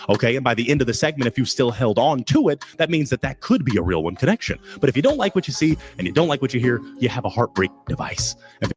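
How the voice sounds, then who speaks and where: A man's voice: mid-range voice, sing-song, quick pace, few pauses, soft background music. A man hosting a dating show with big showman energy, welcoming contestants and explaining the rules.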